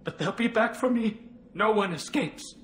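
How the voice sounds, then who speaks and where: Scared traumatized alien kid in a video game, highish voice, keeps repeating himself, roomy echo. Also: male voice, fairly bright tone, slight background noise. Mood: dazed, fearful. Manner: halting, repetitive, fixated, distracted. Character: traumatized, frightened young alien man hiding from monsters. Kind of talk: video game cutscene acting. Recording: roomy echo, music underneath. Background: background music.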